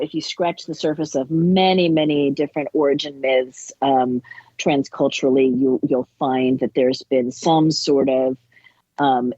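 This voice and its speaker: A woman's voice: deep voice, dark tone, dry recording, lo-fi sound. A woman author speaking as an interview guest, explaining things in a scholarly but colloquial way. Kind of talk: interview conversation on spiritual folklore.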